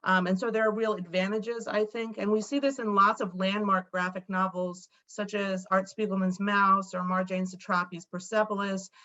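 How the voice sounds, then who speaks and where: A woman's voice: medium pitch, level tone, normal speed, hardly any pauses, bright, forceful tone. A woman panelist speaking thoughtfully on an academic video-call panel, hesitating with "um" fillers. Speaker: panelist, educator. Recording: dull, lo-fi video call audio.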